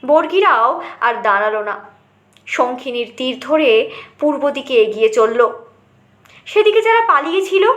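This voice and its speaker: A woman's voice: high voice, fairly bright tone, roomy sound. A woman reading a short story aloud as dramatic scripted narration.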